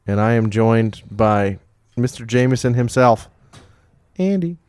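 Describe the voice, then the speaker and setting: A man's voice: mid-range voice, expressive, unhurried, darkish tone, smooth, no room sound. A man with a nasal voice co-hosting a casual podcast, joking, swearing freely and laughing often. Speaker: podcast co-host. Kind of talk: casual banter over drinks.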